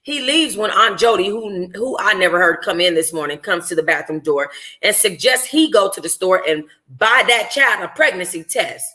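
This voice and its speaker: A woman reading a first-person novel aloud informally as a book club host, in an expressive, conversational, slangy way. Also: mid-range voice, moderate pace.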